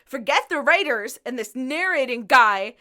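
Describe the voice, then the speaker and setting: A woman's voice: high voice, lively intonation, normal speed, bright, forceful tone. A woman playing an emotional, defiant young musician in a radio drama, earnest and emphatic.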